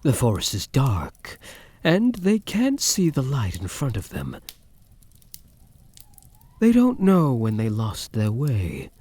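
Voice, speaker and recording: A man playing a possessive, seductive vampire count in a soft-spoken ASMR roleplay, teasing and menacing in an intimate, deliberate way. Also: mid-range voice, wildly swooping pitch, no room sound, exaggerated voice. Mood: musing, taunting. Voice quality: soft, husky.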